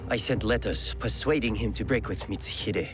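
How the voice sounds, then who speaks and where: An actor playing an ailing, courteous Japanese lord, betrayed and sorrowful, speaking formally and gravely in a game cutscene. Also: male voice, lowish voice, normal speed, music in the background, lightly acted. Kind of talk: dramatic game cutscene dialogue.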